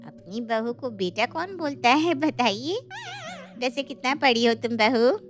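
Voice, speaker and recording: High-voiced, snooty visiting matron played by a woman. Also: expressive, unhurried, soft background music. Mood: condescending. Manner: pointed, condescending. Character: snooty, prying visiting mother of the groom. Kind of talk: animated Hindi moral story acting.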